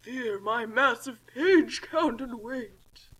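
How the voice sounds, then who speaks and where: Woman voicing a pompous, gloating male dictionary villain, bright-voiced. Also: fairly high pitch, slightly rough, full character voice. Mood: gloating, boastful. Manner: pompous, theatrical, drawn-out boasting. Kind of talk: comic fan fiction read aloud.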